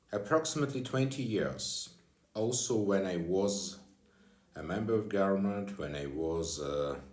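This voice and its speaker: Slow, deep-voiced older man with Armenian accent. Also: echoey room. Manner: slow, halting non-native English with pauses and fillers. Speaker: retired official addressing followers. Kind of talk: direct address to camera.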